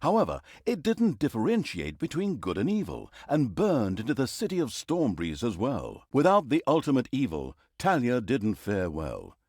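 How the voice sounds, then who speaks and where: An actor playing a sarcastic, pompous storyteller, narrating a fantasy video game with dry, mock-dramatic humor. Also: male voice, mid-range voice, wildly swooping pitch, normal speed, few pauses, a little mellow, dry recording. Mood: mock-epic drama. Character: sarcastic, pompous male storyteller of evil deeds. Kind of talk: theatrical scripted fantasy narration.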